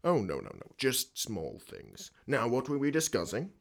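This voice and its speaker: An Australian actor playing a creepy, formal school headmaster in a radio drama, ingratiating and deliberate. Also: male voice, medium pitch, wildly swooping pitch, gravelly, heavily acted. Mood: ingratiating, secretive. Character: creepy middle-aged male school headmaster, smooth-talking, secretive.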